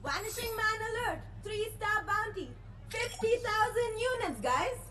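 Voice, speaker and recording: Shrill robot alert announcer, super high, echoey, noisy cartoon sound. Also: female voice, lively intonation, extremely slow, full character voice. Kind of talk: animated series announcement.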